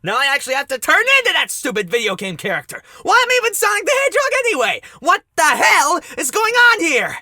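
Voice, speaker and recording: A woman's voice: high voice, huge pitch swings, fast talker, hardly any pauses, bright, forceful tone, no echo, studio quality, heavily acted. A woman playing a sarcastic, exasperated young male cartoon hedgehog hero in an amateur audio drama. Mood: exasperated, incredulous. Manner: sardonic, muttering to exclaiming.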